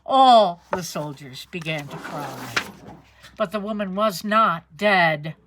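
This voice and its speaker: A woman reading a children's picture book aloud in an expressive storytelling manner. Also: lowish voice, takes it slowly, noisy background. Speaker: storybook reader.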